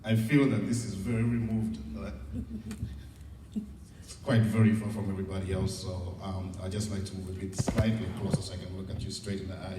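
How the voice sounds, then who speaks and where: A middle-aged man with a Ugandan accent gives an informal motivational talk in a candid, encouraging, conversational way. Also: low-pitched. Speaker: guest speaker at a seminar. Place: large indoor event room. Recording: echoey hall, distant mic, noisy.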